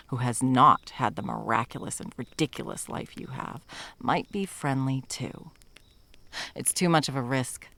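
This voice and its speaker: A woman playing a stern, condescending yet tender monster hunter in an ASMR roleplay, commanding and dropping often into breathy whispers. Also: rumbling low, full character voice. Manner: commanding, condescending, with pet names.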